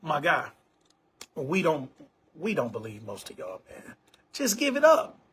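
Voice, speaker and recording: A man's voice: a little high, wildly swooping pitch, a little slow, fairly bright tone. A man in his forties hosting an online show, talking casually to camera in an emphatic, repetitive way and often calling listeners bro and man. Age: 40s.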